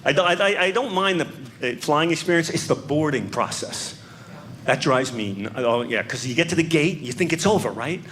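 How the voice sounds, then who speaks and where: New York comic with a higher voice. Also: male voice, normal speed. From New York City. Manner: animated, stammering restarts. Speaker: stand-up comedian. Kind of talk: stand-up comedy. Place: comedy stage with audience. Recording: noisy live stage recording.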